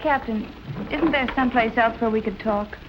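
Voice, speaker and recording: An actress playing an earnest, anxious young fiancée in a 1940s film noir. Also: female voice, fairly high pitch, normal speed, background noise, lightly acted. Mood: uneasy. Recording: muffled old film soundtrack.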